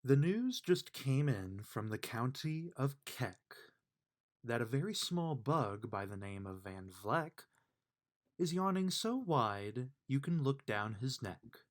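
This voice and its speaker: A man reading a rhyming children's bedtime book aloud with measured, emphatic storytelling delivery. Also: mid-range voice, big pitch swings. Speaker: storybook reader.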